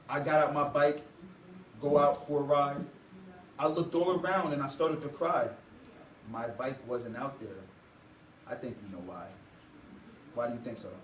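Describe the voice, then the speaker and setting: A young man reading a children's story aloud to a class with expressive, dramatic phrasing, as a student teacher. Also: on the high side. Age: young adult. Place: indoor school classroom. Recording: roomy, distant mic, faint noise.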